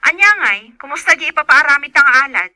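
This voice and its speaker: A woman's voice: high-pitched, sing-song, brisk, pushed and bright, dry recording, clean studio sound, exaggerated voice. A woman playing an insistent, complaining wife in a radio drama. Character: nagging, complaining wife checking on fence work. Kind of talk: Ilocano radio drama acting.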